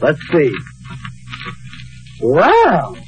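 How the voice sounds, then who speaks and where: An actor playing a polite, dryly amused passport clerk in an old radio sitcom. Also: male voice, on the high side, huge pitch swings, extremely slow, lo-fi sound, faint music behind, full character voice. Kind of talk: 1950s radio sitcom acting.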